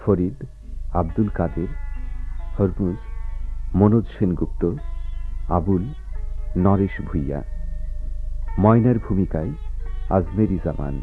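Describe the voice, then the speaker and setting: Deep-voiced male radio drama announcer. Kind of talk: formal scripted credits reading. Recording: dull, lo-fi radio recording. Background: guitar-like plucked music.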